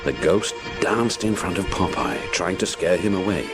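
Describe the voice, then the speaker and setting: A man with a deep voice narrates a children's adventure story. His delivery is theatrical and highly animated in pitch, punching the action words over faint music. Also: moderate pace.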